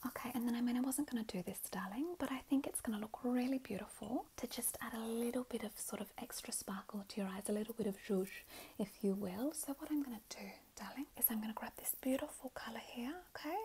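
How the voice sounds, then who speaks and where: Woman acting a gushing, doting mother, softly high-pitched. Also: a little hiss or hum, lightly acted. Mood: doting, soothing. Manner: gushing, soothing, repeatedly endearing. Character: doting, gushing, over-emotional mother doing her child's makeup. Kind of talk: whispered ASMR roleplay.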